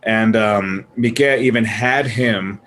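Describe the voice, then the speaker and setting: A man on a casual movie panel, talking in an animated, joking, halting way with some swearing. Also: lowish voice, a little hiss or hum. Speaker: podcast panelist.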